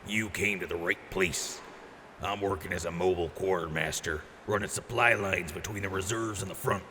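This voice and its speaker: A man's voice: fairly even intonation, moderate pace, pushed and bright. A man playing a boisterous, generous wasteland quartermaster in an audio drama, with a hyped showman's delivery. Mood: boisterous, friendly. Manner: hyped showman with booming catchphrase outbursts. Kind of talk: post-apocalyptic audio drama acting. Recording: noisy, reverberant mix.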